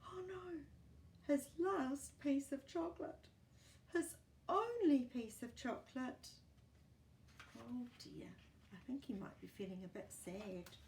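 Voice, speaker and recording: A woman reading a picture book aloud to young children in an animated, encouraging way, with chatty asides to the listener. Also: sits high, takes it slowly, pauses often, roomy sound, slight background noise.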